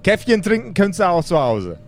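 A brash necromancer who runs the undead like a business, acted by a man at a game table. His voice sits a little high, and he rambles in crude, irritable slang. Clear background noise and ambience surround him. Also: huge pitch swings, brisk, full character voice. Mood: irritated, pompous. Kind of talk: improvised tabletop role-play.

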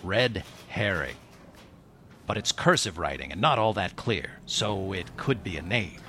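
An actor playing an earnest, steady police detective in an old-time radio mystery. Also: male voice, low voice, a little slow, slight background noise, full character voice. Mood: firm.

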